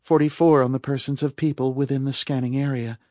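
A man voicing a calm, formal female artificial intelligence in an audiobook, speaking in a measured, precise way. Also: medium pitch, no echo, clean studio sound, voice pushed up, close to an everyday voice. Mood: calm, clinical. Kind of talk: audiobook character voice.

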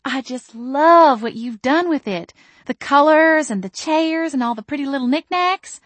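A woman's voice: high-pitched, expressive, a little slow, no room sound, pitched above the performer's own voice. Woman doing a cooing, gushing mother. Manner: cooing, effusive, meddling. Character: gushing overbearing middle-aged mother.

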